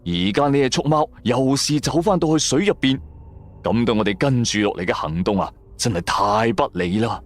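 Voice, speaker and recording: A man's voice: medium pitch, lively intonation, moderate pace. A man with a Cantonese accent narrates a scripted first-person story in a colloquial, dramatic storytelling manner. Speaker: storyteller performing an audio drama. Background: background music.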